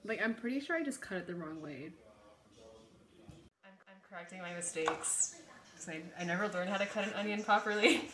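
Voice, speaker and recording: A woman's voice: medium pitch, a little fast, pauses often, a bit bright. A young adult woman chatting casually and jokingly in a giggly manner as she hosts a home cooking video. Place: home kitchen. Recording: clearly noisy room sound.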